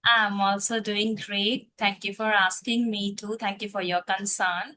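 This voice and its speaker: A woman's voice: medium pitch, level tone, a little slow, sharp tone. A woman from Punjab with an Indian English accent, hosting a video call interview in a polite, formal and careful manner. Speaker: host, spoken English teacher.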